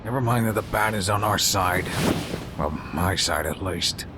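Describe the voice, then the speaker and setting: A man playing a weary, gruff police commissioner in a motion comic, with a blunt, world-weary way of talking. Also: lowish voice, moderate pace, very noisy, lightly acted. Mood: weary, irritated. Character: weary, gruff male police commissioner, middle-aged or older. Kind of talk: dramatic motion comic acting. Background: music.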